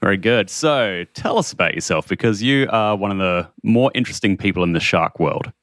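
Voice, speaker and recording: Man, very low voice, dry close sound, podcast host, explanatory. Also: lively intonation, fairly quick, fairly bright tone, dry recording. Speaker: podcast host, marine biologist. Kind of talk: podcast hosting.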